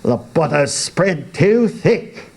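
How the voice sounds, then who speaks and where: A man's voice: a little high, expressive, takes it slowly, gravelly, darkish tone, pitched above the performer's own voice, full character voice. A man voicing a curt, grumbling carpenter intent on his food, in a comic poetry reading. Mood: curt, irritated.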